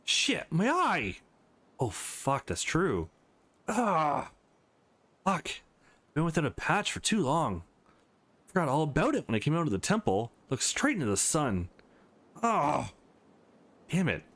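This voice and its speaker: A man's voice: a little high, wildly swooping pitch, measured pace, pauses often, a bit bright, voice pushed up, heavily acted. A man voicing a petite, shy young woman in a visual novel, with a hesitant, awkward manner. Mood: pained.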